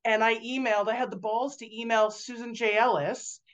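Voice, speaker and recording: A woman in her forties, a guest on a video call interview, talking loudly and animatedly with lots of fillers and restarts. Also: fairly high pitch, fairly even intonation, fairly quick. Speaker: guest author. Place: home room indoors. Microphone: video call webcam.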